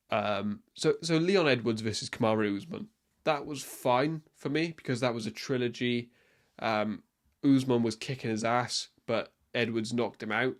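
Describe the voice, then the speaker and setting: A young man from Wales, hosting a casual solo sports podcast and talking in an opinionated, rambling way with frequent ums and restarts. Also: medium pitch. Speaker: podcast host.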